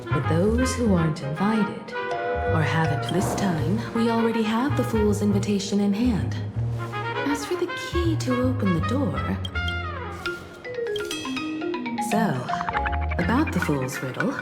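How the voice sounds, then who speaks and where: An actress voicing a calm, nostalgic adult woman in a video game, speaking in a measured, articulate, reflective way. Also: medium pitch, lightly acted. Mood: composed, purposeful. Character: calm, nostalgic adult woman, former scrappy student martial artist. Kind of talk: video game story dialogue. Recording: game music behind the voice.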